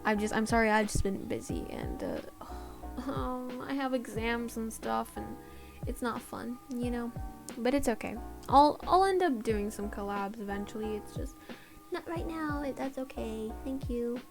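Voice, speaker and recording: A tired young woman with a slightly raspy voice, rambling and apologizing in a casual voiceover for her art channel. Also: a little high, big pitch swings, measured pace. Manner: rambling, apologetic, self-deprecating. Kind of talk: casual voiceover over a speedpaint. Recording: voiceover over loud background music. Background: background music.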